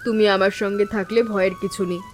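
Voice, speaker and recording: A woman playing a calm, steadfast elder sister-in-law in an animated village story, speaking in a composed, firm and reassuring way. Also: mid-range voice, fast, a little hiss or hum, close to an everyday voice. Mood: reassuring, resolute.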